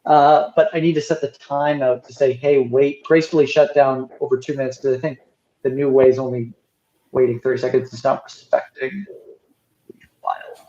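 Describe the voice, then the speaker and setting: A man speaking as an engineer in a casual technical work call, thinking aloud in halting phrases as he explains details. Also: medium pitch, level tone, dark tone. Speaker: software engineer on a team call. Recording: roomy, dull lo-fi call audio.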